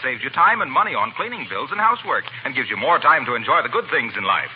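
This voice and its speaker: An actor playing a cheerful, persistent announcer in a 1940s radio comedy, polished and salesy, with an American accent. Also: male voice, mid-range voice, sing-song, quick pace, close to an everyday voice. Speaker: announcer and sponsor's pitchman. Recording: dull, noisy 1940s radio recording.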